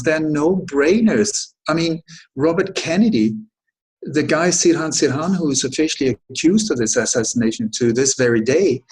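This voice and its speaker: A middle-aged man from Sweden, a guest in an interview, answering at length in a halting way with many restarts and emphatic points. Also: on the high side, poor audio. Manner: halting, frequent restarts and self-corrections, emphatic. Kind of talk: long conversational answer.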